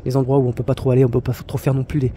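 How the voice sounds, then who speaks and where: A man's voice: mid-range voice, completely flat delivery, rapid-fire, mellow, slight background noise. A man talking casually about solo travel, rambling with many fillers, restarts and blunt slang. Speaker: travel vlogger. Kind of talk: casual spoken monologue.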